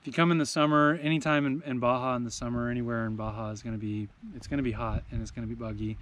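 A man in his forties, seated outdoors beside a truck camper, talks into a tripod camera in an easygoing travel-vlog tone. His voice sits at a middle pitch for a man. Clear outdoor noise runs underneath the whole recording. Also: racing. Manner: relaxed, conversational, enthusiastic about scenery. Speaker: travel vlogger. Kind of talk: casual travel vlog chat.

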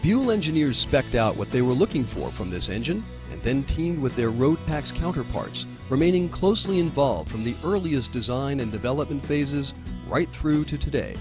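A man's voice: medium pitch, very animated, moderate pace. A man reading scripted promotional narration for a company training film. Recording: music playing behind the voice. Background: background music.

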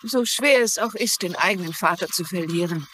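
Eine Schauspielerin spielt in einem synchronisierten TV-Drama eine gefasste, wissbegierige ältere Frau, die bedacht und deutlich spricht. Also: female voice, lowish voice, normal speed, a bit bright, slight background noise, natural voice. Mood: sympathetic, tactful. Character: composed, inquisitive older woman, a former teacher turned sleuth. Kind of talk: dubbed TV drama dialogue in German.

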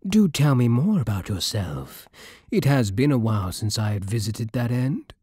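A British man playing a courtly, possessive vampire king in an intimate ASMR roleplay, silky, formal and commanding with quiet menace. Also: mid-range voice, very animated, normal speed, no room sound, clean studio sound. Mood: courtly, smooth. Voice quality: breathy, husky.

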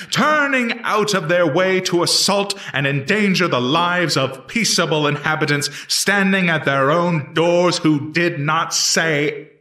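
A man playing an earnest eighteenth-century prosecutor in a historical reenactment, addressing a jury in deliberate, declamatory, formal courtroom oratory. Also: fairly high pitch, sharp tone, exaggerated voice. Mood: accusing. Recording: echoey room, distant microphone.

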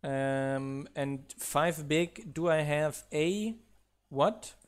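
A man's voice: fairly high pitch, extremely slow, clean tone. A man whose first language is not English, a mod developer chatting casually while building in a live game stream, counting blocks aloud and laughing at his own mishaps.

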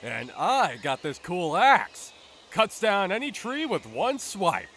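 Simple-hearted, enthusiastic male fighter for a comic dub, played by a man; high, very animated, boyish. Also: takes it slowly, a little hiss or hum, full character voice. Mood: delighted.

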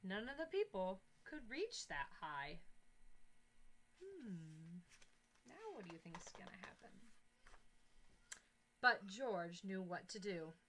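A woman in her thirties reads a picture book aloud to young children, with expressive, deliberate phrasing, on a headset mic. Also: medium pitch, slight background noise, rough recording. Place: small indoor classroom-style corner. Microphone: headset boom mic.

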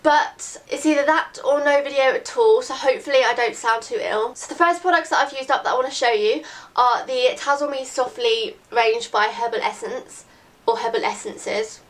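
A woman's voice: sits high, moderate pace. A young woman, chatting casually to camera in her bedroom while a bit bunged up from a cold, rambling with lots of "like". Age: late teens. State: congested from a cold. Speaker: beauty vlogger. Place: bedroom, indoors. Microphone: camera in front at desk distance.

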